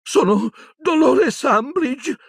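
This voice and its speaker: A man reading aloud and voicing a shrill, frightened, officious middle-aged witch who talks in a prim, imperious way. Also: expressive, slow, creaky, no echo, clean studio sound, heavily acted. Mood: haughty. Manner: prim, imperious, rising to screeches.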